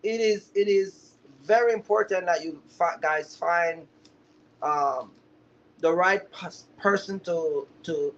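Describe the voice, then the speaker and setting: Male livestream mentor, high-pitched, chatty and emphatic, on dull lo-fi audio. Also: takes it slowly, slight background noise. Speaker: livestream host, trucking business mentor. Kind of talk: casual live chat to viewers. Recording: dull, lo-fi live stream audio.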